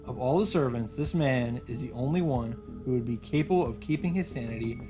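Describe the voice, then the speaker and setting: A man hosting visual novel highlights, reading the story narration aloud in a dramatic way with some commentary. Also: a little fast, music in the background.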